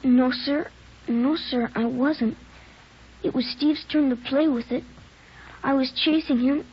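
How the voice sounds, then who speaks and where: A scared nine-year-old boy in an old radio drama, earnest and close to tears. The voice is high and young. The sound is dull and muffled, like a vintage broadcast. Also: steady tone, slow pace, breathy edge, heavily acted. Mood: tearful. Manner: pleading, earnest. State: tearful. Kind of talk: 1940s radio acting.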